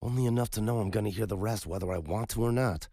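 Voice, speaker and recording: A man's voice: fairly low pitch, fast, mellow, dry recording, pitched above the performer's own voice, close to an everyday voice. A man voicing a weary young doctor who speaks in a dry, muttered way.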